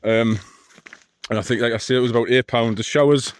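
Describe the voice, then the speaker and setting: A man, talking in a deep, mumbling voice in a casual walking vlog outdoors, rambling with dry self-mocking asides. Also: steady tone, fairly quick, clear, resonant tone. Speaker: long-distance hiker vlogger. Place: outdoors on a hill trail.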